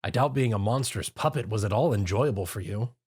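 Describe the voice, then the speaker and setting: A man's voice: on the low side, animated, brisk, a little mellow, husky. A man voicing a timid, jumpy young man who talks in a nervous, whiny, stammering way.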